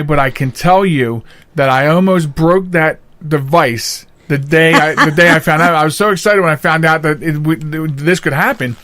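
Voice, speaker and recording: A man's voice: on the high side, fairly bright tone, no room sound. A man hosting a podcast in casual, chatty, rambling and jokey style. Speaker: podcast host. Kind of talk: casual chat.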